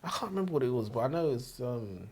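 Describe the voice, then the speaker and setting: A man banters as co-host of a book show. He has a mid-range voice with very animated intonation, and he is emphatic and teasing, often repeating his phrases. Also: mid-range voice, fast talker, husky. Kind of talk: casual banter.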